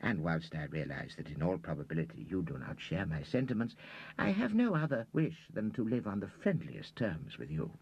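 A man voicing a timid, fussy older gentleman who talks in a hesitant, prim, preachy way. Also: sits low, very animated, normal speed, husky, dull audio, heavily acted.

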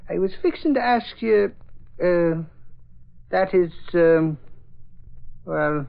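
An actor playing a bumbling, boastful newspaper reporter who stammers and hesitates, in a vintage radio drama. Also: male voice, high-pitched, lively intonation, slow pace, gravelly, slight background noise, exaggerated voice. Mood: sheepish. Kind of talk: 1940s radio acting. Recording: dull old radio recording.

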